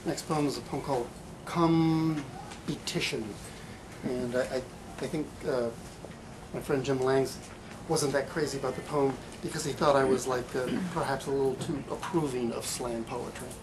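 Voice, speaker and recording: A man giving a live poetry reading in a bookstore, with halting, deliberate delivery, in an amateur recording. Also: medium pitch, fairly even intonation, background noise. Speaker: poet. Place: bookstore reading event, indoors.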